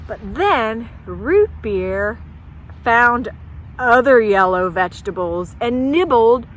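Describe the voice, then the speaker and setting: A woman telling a folktale at a children's storytime, pausing to ask young listeners questions. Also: a little high, expressive, poor audio.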